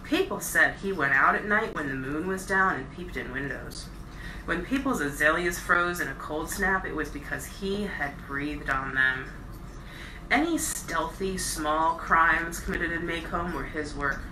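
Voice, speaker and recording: A woman reading a novel aloud as a bedtime storyteller, with expressive, deliberate phrasing and stressed words. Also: sits low. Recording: roomy echo, distant mic, faint noise.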